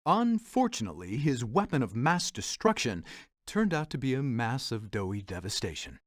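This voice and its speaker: A man's voice: mid-range voice, big pitch swings, normal speed, dry recording, clean studio sound. A man playing a wry, theatrical storyteller narrating a fairy-tale adventure game in a dramatic, playful way.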